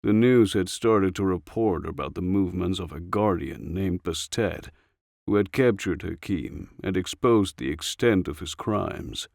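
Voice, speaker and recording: A man narrates a story in a low voice with noticeable creak. The sound is dry and close, in the manner of a scripted audiobook. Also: animated, a little slow, darkish tone, dry recording.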